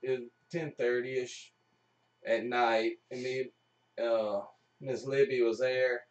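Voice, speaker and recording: A man's voice: medium pitch, steady tone, slow pace, distant mic, muffled recording. A man hosting a live stream with casual, jokey banter and storytelling.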